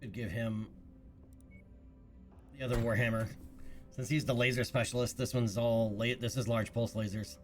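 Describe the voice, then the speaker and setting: A man's voice: mid-range voice, flat delivery, normal speed, pauses often, faint music behind. A man streaming a game, thinking aloud in casual chat while congested from a recent cold. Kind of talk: casual gameplay commentary.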